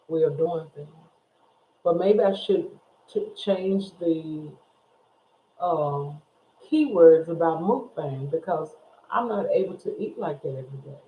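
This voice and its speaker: A speaker in their sixties chatting casually to viewers, rambling and repeating phrases as they go. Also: medium pitch. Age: 60s. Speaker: YouTube vlogger. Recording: echoey room over a tinny phone-like line.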